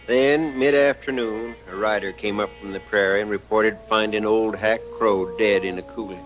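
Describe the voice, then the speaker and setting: Grave western narrator played by a man, American, low voice, scripted vintage radio storytelling with music underneath. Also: fairly even intonation, normal speed, close to an everyday voice, poor audio. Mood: grave, suspenseful.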